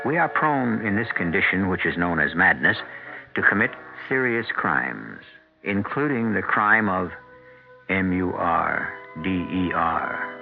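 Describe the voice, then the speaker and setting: A man playing an ominous, philosophizing radio mystery host, narrating in a measured, grandiose, theatrical manner. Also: low voice, animated, gravelly, full character voice. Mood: ominous, sardonic. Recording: muffled 1970s radio recording with music.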